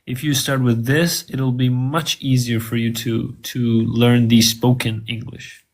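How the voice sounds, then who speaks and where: A man's voice: mid-range voice, little pitch movement, unhurried. A man whose first language is Farsi, answering interview questions in English in a hesitant, conversational way with frequent fillers and repeated words.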